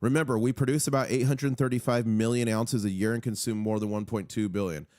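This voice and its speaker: A man with a hoarse voice hosting a live market stream, urgent and hyped, repeating key figures for emphasis. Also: medium pitch, steady tone, a little fast, a little mellow, no room sound, studio quality. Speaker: livestream host on silver markets. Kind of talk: excited live market commentary.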